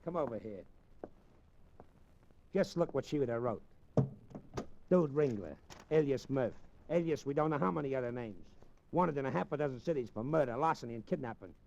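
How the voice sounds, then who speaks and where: Male cold American hoodlum killer, gravelly, slangy and casually menacing, muffled vintage radio crime drama. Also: medium pitch, lively intonation, fairly quick, long pauses, darkish tone. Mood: mocking. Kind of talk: 1940s-50s American radio crime acting. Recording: muffled, hissy old radio recording.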